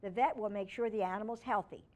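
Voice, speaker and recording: A woman reads a storybook aloud for children at a mid-range pitch. She narrates as a storytime reader and drops in informal asides. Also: quick pace, a little mellow.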